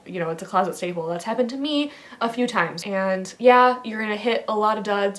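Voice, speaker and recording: A woman in her thirties from the Midwest, a fashion vlogger giving rambly, casual advice with lots of fillers, stuffy from a head cold. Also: mid-range voice. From American Midwest. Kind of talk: casual chatty vlog.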